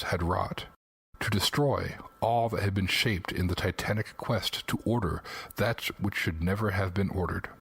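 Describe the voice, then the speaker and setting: A man's voice: deep voice, a bit bright, husky, no echo, poor audio. A man narrating scripted fantasy lore as a segment host, in a dramatic storytelling manner with rhetorical questions.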